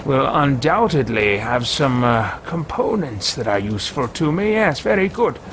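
A man's voice: mid-range voice, very animated, moderate pace, background noise. A man in his thirties voicing an arrogant, self-absorbed mage adventurer in a pompous, grandiose way, recorded at a desk at home. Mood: haughty. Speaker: let's play host roleplaying a character. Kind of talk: in-character roleplay over a video game. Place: room at home, indoors.

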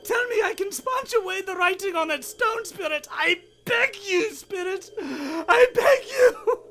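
An actor playing an old male miser in an old-time radio drama, theatrical and emphatic, with dramatic pauses. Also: very high-pitched, fairly bright tone, exaggerated voice. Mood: desperate pleading.

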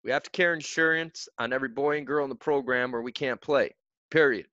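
A man voicing a middle-aged male soccer coach who lays down the rules in a firm, measured way. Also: mid-range voice, pushed and bright, no room sound, highs cut off, pitched above the performer's own voice, lightly acted. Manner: firm, measured, rule-bound. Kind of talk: audiobook character voice.

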